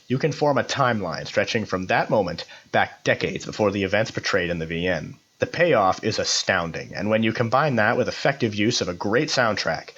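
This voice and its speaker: A man reads a scripted review for a podcast in a measured, formally worded way. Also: lowish voice, fairly bright tone, slightly rough. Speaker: podcast contributor giving a review. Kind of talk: scripted narration, visual novel review.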